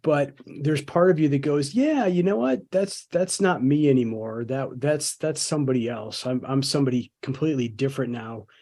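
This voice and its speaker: A man's voice: medium pitch, moderate pace, hardly any pauses, mellow. A man hosting a podcast, reflective, often stammering and repeating words as he thinks aloud. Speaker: podcast host. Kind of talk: conversational podcast interview.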